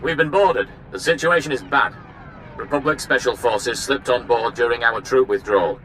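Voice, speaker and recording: A man's voice: medium pitch, moderate pace, fairly bright tone, close to an everyday voice. A man voicing a formal warship captain in a video game, clipped and commanding. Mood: urgent, commanding. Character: formal, urgent male imperial warship captain. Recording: faint noisy battle ambience.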